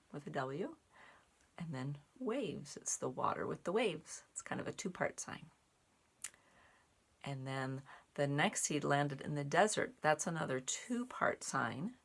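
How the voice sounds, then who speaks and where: A woman with a low, noticeably creaky voice works as a storyteller and teacher. She explains things simply, in the manner of someone talking to young children. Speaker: storyteller and sign language teacher. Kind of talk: picture-book read-aloud and casual sign language teaching.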